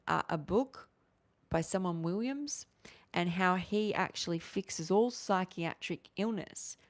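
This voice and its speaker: A woman in her forties hosting a casual livestream, talking in a rambling, animated way with many restarts. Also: fairly low pitch. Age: 40s. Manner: rambling, animated, restarts often, gestures with hands. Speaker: livestream host. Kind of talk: casual livestream chat answering viewers.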